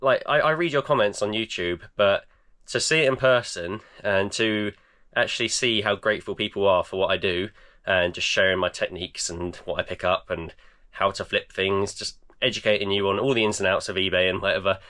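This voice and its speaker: A man in his thirties, croaky and tired, chatting casually in a vlog with lots of fillers. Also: on the low side, fairly quick, bright, forceful tone. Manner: tired, rambling with many fillers. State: croaky, voice almost gone. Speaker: eBay reseller vlogger. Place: home garage.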